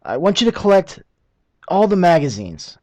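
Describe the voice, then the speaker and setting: A man's voice: sits high, big pitch swings, normal speed, darkish tone, no echo. An actor playing an uneasy male military lieutenant in a science fiction film, giving hesitant, clipped orders. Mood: uneasy command.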